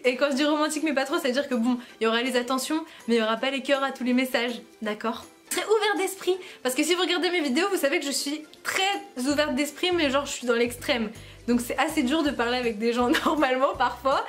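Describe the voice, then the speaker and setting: Giggly high-voiced young woman vlogging. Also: fairly bright tone. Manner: playful, giggly, self-mocking. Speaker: YouTuber. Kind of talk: casual vlog chat to camera.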